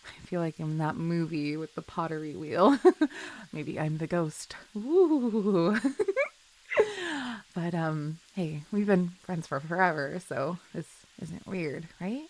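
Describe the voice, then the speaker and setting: A woman's voice: low-pitched, slow pace, a little mellow. A woman playing a chill, flirty best friend with a secret crush in an intimate audio roleplay, speaking in a giggly, hesitant, affectionate way. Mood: playful, tender. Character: chill, flirty female best friend shyly confessing love. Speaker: voice actor.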